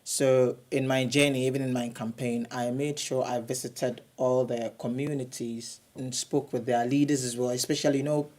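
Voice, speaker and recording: A man's voice: medium pitch, flat delivery, moderate pace, hardly any pauses, darkish tone. A man who is a city councillor, answering interview questions hesitantly, often repeating words.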